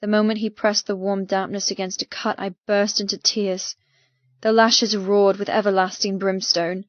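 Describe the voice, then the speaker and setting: A woman's voice: medium pitch, little pitch movement, normal speed, no echo, muffled recording. Dramatic woman audiobook narrator, dry sound. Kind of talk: scripted first-person fiction narration.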